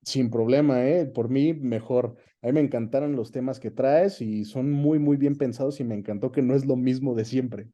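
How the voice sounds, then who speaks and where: A Mexican man with a soft, mellow timbre and an average pitch speaks as an interview guest. He tells anecdotes casually and expressively, acting out bits of dialogue as he goes. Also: moderate pace, few pauses. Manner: animated anecdote-telling, acts out quoted dialogue. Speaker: guest, voice actor and dubbing director.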